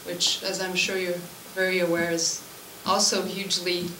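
A woman's voice: lowish voice, flat delivery, normal speed, pushed and bright. Echoey, distant Swiss-born French-speaking woman teacher. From Switzerland. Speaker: English language teacher. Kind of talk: informal self-introduction at a public meeting. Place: school room at a committee meeting, indoors. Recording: echoey room, distant mic, background noise.

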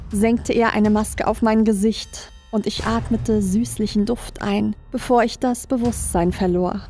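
Woman, higher-pitched, scripted creepypasta narration, first person, music bed. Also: darkish tone. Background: background music.

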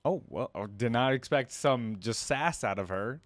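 A man's voice: medium pitch, expressive, moderate pace, no room sound. A young American man in his twenties giving casual reaction commentary as a video host, speaking close to the mic.

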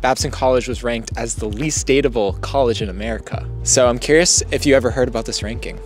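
A man's voice: mid-range voice, fast talker, faint music behind. Upbeat young male campus interviewer. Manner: upbeat, laughs often. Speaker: host. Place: outdoors on a college campus. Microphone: handheld mic.

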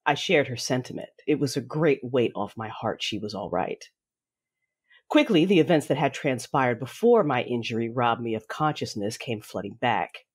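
A woman playing a fierce, grieving warrior mother who narrates her own story in a measured, dramatic audio drama style. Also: rumbling low, animated, slightly rough, exaggerated voice. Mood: solemn, controlled. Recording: voice over a music bed.

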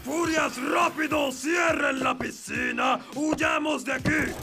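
A blustering cartoon bandit chief, very high and shouted. The voice bosses a gang around with gloating villainy over loud music. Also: male voice, fairly even intonation, takes it slowly, gravelly, exaggerated voice. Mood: urgent commanding. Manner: bossy, bellowing orders, gloating. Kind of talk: animated cartoon dub acting.

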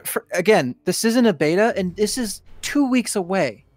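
A man's voice: high-pitched, animated, moderate pace, no room sound. A young man co-hosting a game stream, chatting casually with an emphatic, complaining manner. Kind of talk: casual gaming chat.

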